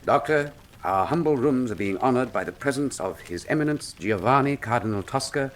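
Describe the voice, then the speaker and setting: A man acting as a shrewd, urbane male detective in a radio drama. His mid-pitched voice moves in lively, theatrical swoops, with formal, precise phrasing and a wry, teasing edge. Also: fairly quick, natural voice. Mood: courtly.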